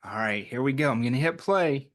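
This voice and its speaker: A man's voice: medium pitch, lively intonation, fairly quick, heavy background noise. A man hosting a story time, talking to young children in simple, encouraging, chatty language. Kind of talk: read-aloud and chatty address to young children.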